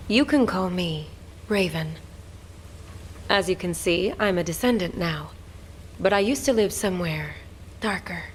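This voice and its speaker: An actress playing a sly, flirtatious former gang fixer in a video game, with a teasing, sultry, confident manner. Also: female voice, on the low side, a little hiss or hum, lightly acted. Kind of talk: video game character acting.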